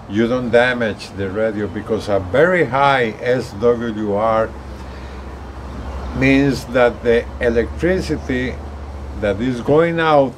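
A man in his sixties talks slowly and casually, explaining things to viewers. He stops and repeats words as he goes. He is recorded outside on a balcony, with faint ambient noise behind him. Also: mid-range voice. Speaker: gadget reviewer. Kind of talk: casual explanatory vlog talk. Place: outdoors on an apartment balcony. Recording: steady outdoor ambient noise.

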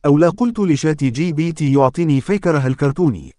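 A man's voice: on the high side, quick pace, mellow, no room sound, clean studio sound. A man hosting and narrating a video in a scripted creator style.

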